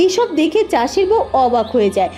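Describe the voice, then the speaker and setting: Female cartoon storyteller: high voice, scripted narration over faint music. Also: sing-song, fairly quick, mellow.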